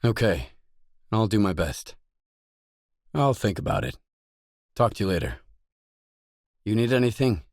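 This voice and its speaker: A man's voice: lowish voice, lively intonation, a little slow, pauses often, dry recording, studio quality. A man playing a matter-of-fact mercenary stalker, the hero of a video game, speaking in terse, businesslike dialogue lines. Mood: matter-of-fact, guarded.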